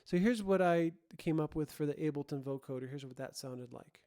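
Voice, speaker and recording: A man's voice: a little high, brisk, heavy background noise. A man presenting an informal tutorial, thinking aloud and often correcting himself as he explains. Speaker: host, audio production tutorial presenter.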